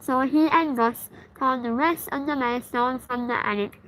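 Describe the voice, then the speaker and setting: A woman's voice: high voice, moderate pace, a bit bright. A woman hosting a read-aloud and reading a children's story. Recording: clearly noisy background.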